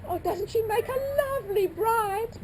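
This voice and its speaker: A woman's voice: extremely high pitch, measured pace, a little mellow, slight background noise. A woman playing a sentimental wedding guest who gushes and weeps about weddings. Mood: gushing. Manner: gushing, teary chatter.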